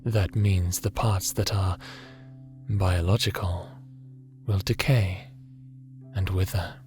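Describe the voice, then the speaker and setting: A man playing a gentle, fatherly creator god with a hidden weariness in a scripted audio roleplay, soft-spoken and deliberate, sometimes slipping into a whisper. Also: sits low, steady tone, soft background music. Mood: tender, doting. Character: gentle fatherly creator god, tender mentor, secretly haunted and weary.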